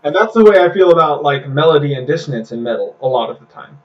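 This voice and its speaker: A man's voice: fairly high pitch, expressive, fast. Man, podcast co-host, laid-back musing, a bit distant from the mic, dull audio. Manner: laughing, reflective, uses food comparisons. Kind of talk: casual chat.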